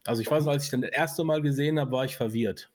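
A man's voice: medium pitch, racing. A man co-hosting a podcast, talking casually in colloquial, slangy language. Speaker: podcast co-host. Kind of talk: casual chat about audio dramas and films.

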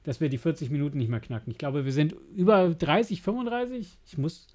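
Guy on a podcast, voice a bit high, jokey casual banter. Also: quick pace, a little mellow. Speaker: podcast co-host.

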